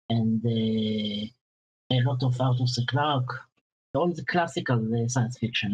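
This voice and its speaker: A man in his late thirties with an Israeli accent, a guest speaking hesitantly with pauses over a video call. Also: mid-range voice, breathy edge, dull audio. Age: 30s. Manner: hesitant, plain phrasing with pauses. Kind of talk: casual interview chat.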